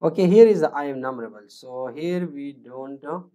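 Man with a halting coding-tutorial delivery. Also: a little high, dark tone. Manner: halting, many fillers and restarts, thinking aloud. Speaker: programming tutor. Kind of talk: screen-recorded coding tutorial.

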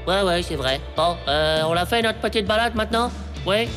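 A woman voicing a cocky, excitable ten-year-old boy in a cartoon, slangy and boastful. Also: lowish voice, normal speed, music in the background, close to an everyday voice. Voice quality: childlike, slangy. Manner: boastful, blustering, hesitant when caught out. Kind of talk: French TV cartoon dubbing.

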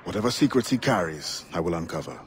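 An actor playing a steadfast pirate turned assassin with a Caribbean accent in a video game, speaking formally and with composure. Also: male voice, low voice, sing-song, fast talker. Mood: steady, encouraging. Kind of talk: dramatic video game acting.

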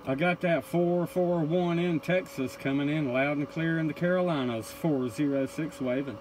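A man with a fairly high voice works a CB radio from an indoor radio room. He calls and replies in short operator phrases. Noticeable static and hiss run through the recording. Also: moderate pace, a little mellow, rough recording.

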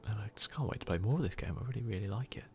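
A man hosting an ASMR video game playthrough, commenting in a hushed voice that drifts between soft speech and whispering, close to the microphone. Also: on the low side, rapid-fire, soft background music. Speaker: gaming video host.

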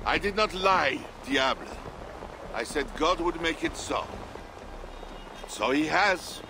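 A man's voice: fairly high pitch, lively intonation, takes it slowly, noisy background. A man playing a haughty, aggrieved Frankish king in a video game, pompous and theatrical in delivery. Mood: pious defiance. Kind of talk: dramatic game cutscene acting.

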